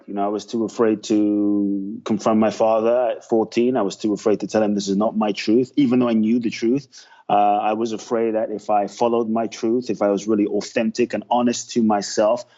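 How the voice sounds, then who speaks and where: A man with a low, dark, mellow voice speaks in an earnest, heartfelt way, pausing often as he tells his story. The audio is dull and slightly lo-fi. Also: fairly even intonation. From Ghana, raised in London. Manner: earnest, heartfelt, many "uh" pauses. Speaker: guest. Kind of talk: reflective storytelling interview.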